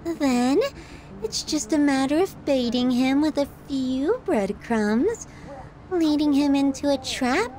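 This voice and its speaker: A woman's voice: sits high, animated, mellow. A woman playing a possessive, sadistic yandere girlfriend in an ASMR roleplay, cooing and teasing with sweet menace. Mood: calculating, menacing. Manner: cooing, drawn-out, teasing, clingy delivery.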